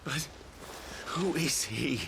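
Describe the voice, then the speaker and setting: An actor playing a troubled young warrior in a fantasy game, halting, sombre and intense. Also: male voice, medium pitch, gravelly, noisy background. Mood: bewildered. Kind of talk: cinematic fantasy game acting.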